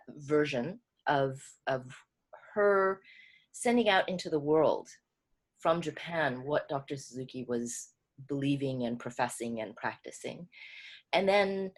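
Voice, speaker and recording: A woman, a co-translator, explaining her work at an online book club in a thoughtful, halting way with many restarts. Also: low-pitched. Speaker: co-translator speaking as a guest.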